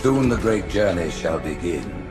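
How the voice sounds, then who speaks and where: Deep, condemning alien high priest. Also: male voice, expressive, unhurried, music in the background. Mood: solemn condemnation. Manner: solemn, pompous pronouncements. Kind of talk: dramatic video game acting.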